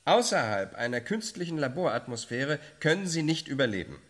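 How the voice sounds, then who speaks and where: A bumbling male scientist in a radio play, earnest and a bit flustered. His mid-pitched voice rises and falls freely in an academic, slightly rambling way. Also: sing-song, brisk, fairly bright tone. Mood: absent-minded, earnest. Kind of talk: radio drama acting.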